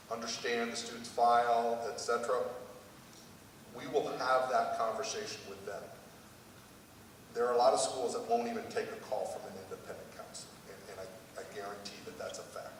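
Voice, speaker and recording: An American man speaking conversationally as an admissions panelist, giving an informal answer. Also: mid-range voice. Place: large hall at a public panel event. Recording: echoey hall, distant mic, faint noise.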